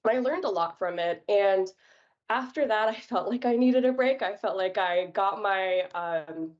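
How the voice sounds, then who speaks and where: A young woman from New York, a podcast guest, telling her career story in a relaxed, chatty way with plenty of fillers. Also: mid-range voice, measured pace, highs cut off. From New York, United States. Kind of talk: casual interview chat.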